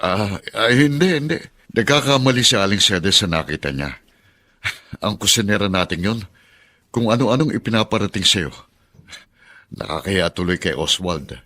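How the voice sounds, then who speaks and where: A man playing a middle-aged ex-convict father in a Filipino radio drama, halting, emotional and self-sacrificing as he pleads. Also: lowish voice, expressive, sharp tone. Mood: evasive, placating.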